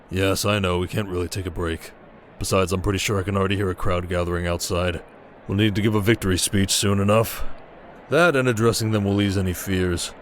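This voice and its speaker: A man voices a desert king and protective husband in a fantasy ASMR drama. His voice sits low, and he carries himself with confident command and a dry, teasing wit. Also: fairly even intonation, moderate pace, slight background noise, natural voice. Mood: teasing, affectionate. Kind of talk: dramatic ASMR roleplay acting.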